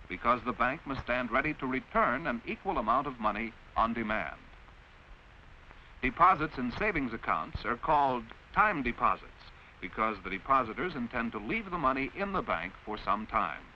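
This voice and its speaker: A man's voice: medium pitch, sing-song. Dull, hissy vintage film narrator, American man. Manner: measured, explanatory, clearly enunciated. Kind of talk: 1940s instructional film narration.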